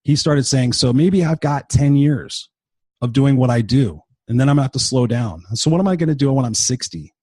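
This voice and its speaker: Husky, blunt fifty-something man. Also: medium pitch, animated, a little fast, warm tone, no room sound. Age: 50s. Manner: blunt and profane, emphatic repetition. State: tired and sick. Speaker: motivational podcast host. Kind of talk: unscripted motivational monologue.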